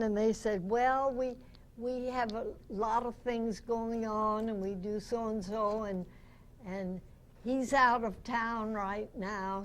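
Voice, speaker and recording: A woman in her nineties, a guest reminiscing in an unhurried, anecdotal way before a club audience. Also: mid-range voice. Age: 90s. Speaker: guest, veteran radio actress and singer. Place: club meeting room with audience. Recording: noisy live meeting-room recording.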